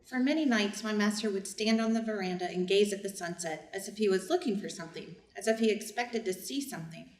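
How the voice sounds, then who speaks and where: A woman's voice: mid-range voice, fairly quick, few pauses, natural voice. Woman acting a servant narrator, distant and echoey. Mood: reflective. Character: household servant narrating the tale, plain and observant. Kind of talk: scripted narration in reader's theater. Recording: distant, echoey, faint room noise.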